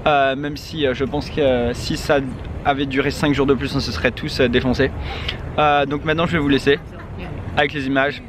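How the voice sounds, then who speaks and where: A man's voice: mid-range voice, fairly even intonation, brisk. A young man talking casually to a handheld camera outdoors, rambling with many hesitations. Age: young adult. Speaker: vlogger. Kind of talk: casual travel vlog to camera. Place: outdoors in a city street. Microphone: handheld camera at arm's length. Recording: outdoor traffic noise behind. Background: street and traffic noise.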